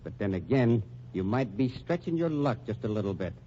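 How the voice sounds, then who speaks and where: An actor playing a cynical, taunting police lieutenant, mocking and needling, in an old-time radio mystery. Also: male voice, lowish voice, very animated, a little fast, warm tone, a little hiss or hum. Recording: dull old radio recording.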